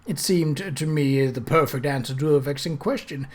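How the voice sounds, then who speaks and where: A sulky young gentleman of the gentry, acted by a male reader in a period storybook. He is wry and self-pitying, sighing through theatrical upper-class phrases in a somewhat high voice. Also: moderate pace, natural voice. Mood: petulant.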